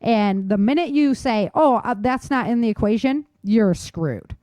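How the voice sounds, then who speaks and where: A woman's voice: fairly high pitch, animated, unhurried, mellow, dry recording. Emphatic woman podcaster, dry close sound. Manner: emphatic, opinionated. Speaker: podcast co-host. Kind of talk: casual conversational podcast chat.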